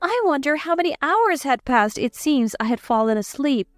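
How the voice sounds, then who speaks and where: A woman's voice: sits high, expressive, normal speed, no echo, clean studio sound. A woman reading a scripted, dramatized first-person story as its narrator. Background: background music.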